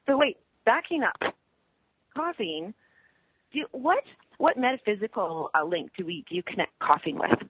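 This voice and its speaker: A bubbly woman co-hosts a radio talk show, her voice swooping up and down as she chats. Her tone is slightly husky and very dry. It comes through a thin, tinny phone line. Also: normal speed. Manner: bubbly, laughs often, lively pitch swings. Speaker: co-host.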